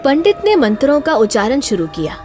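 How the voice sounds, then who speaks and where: Female narrator for an animated horror tale, dramatic, a bit high, music under. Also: a little fast. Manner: dramatic, suspenseful. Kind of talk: scripted horror storytelling. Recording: narration over loud background music.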